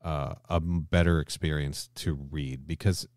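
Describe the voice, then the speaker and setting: Male podcast host, very deep bass voice, casual discussion, hedging and rephrasing, dry studio-like sound. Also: fairly even intonation, a little slow, darkish tone, no room sound. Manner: hedging, thinking aloud, circles back to rephrase. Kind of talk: casual discussion between co-hosts.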